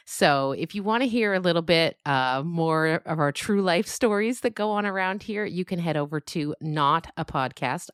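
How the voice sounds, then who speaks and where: A woman's voice: sits low, a bit bright, dry recording, studio quality. A woman hosting a podcast, speaking casually to her listeners as she gives announcements. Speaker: podcast host and creator.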